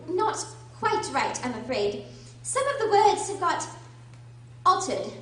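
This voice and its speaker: A woman's voice: very high voice, sing-song, slow, full character voice. A woman playing a polite, bewildered little girl in a radio drama, earnest, hesitant and easily flustered. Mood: polite, timid. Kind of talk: amateur radio drama acting. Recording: very echoey room, distant mic, faint noise.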